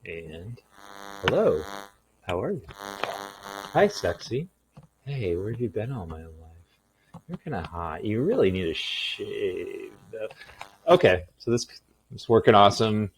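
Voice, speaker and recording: Low-voiced man, goofy and rambling. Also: very animated, takes it slowly, long pauses, a little hiss or hum, poor audio. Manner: goofy, self-deprecating joking; talks to himself while working. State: tired. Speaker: product reviewer and video host. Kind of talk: casual, rambling unboxing and product demo.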